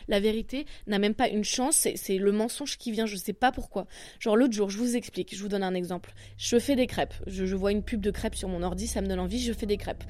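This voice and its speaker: A woman's voice: mid-range voice, fairly quick, a little mellow, dry recording. A woman with a Parisian accent, a comedian hosting her podcast in a crude, self-mocking, rambling monologue. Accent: Parisian French. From France. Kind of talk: comic monologue.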